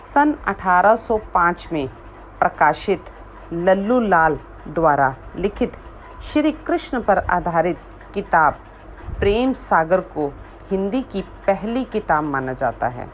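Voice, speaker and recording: A woman reciting a poem she wrote herself, in a measured voice that repeats lines for emphasis, after a short spoken introduction. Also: lowish voice, very animated, slight background noise, rough recording. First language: Hindi. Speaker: poet. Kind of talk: slow recited poetry.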